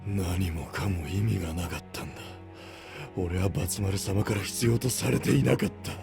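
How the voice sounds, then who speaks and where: An actor playing an anguished, rough-talking young knight in a Japanese anime voice drama, with a gravelly voice. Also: male voice, low voice, moderate pace, music in the background. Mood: despairing. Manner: rough, brusque, emotional speech.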